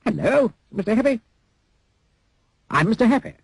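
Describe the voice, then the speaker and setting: A man voicing a cheerful, upbeat storybook character who talks in a bright, bouncy way. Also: high voice, sing-song, normal speed, creaky, husky, no room sound, voice pushed up, full character voice.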